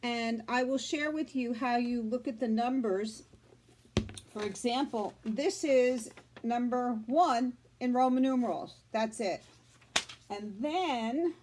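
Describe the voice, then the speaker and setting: A woman with a fairly high voice reads aloud for young children. She explains things simply, in a teacherly way, with deliberate emphasis. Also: background noise. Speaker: children's story reader, school media specialist.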